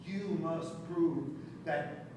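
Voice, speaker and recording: An actor playing a mocking god of satire in a stage play, speaking in a grandiose, sardonic, declamatory way. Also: male voice, fairly high pitch, very slow. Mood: sly challenge. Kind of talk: projected live stage play acting. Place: theater stage, recorded from afar. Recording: distant, echoey theater recording with background noise.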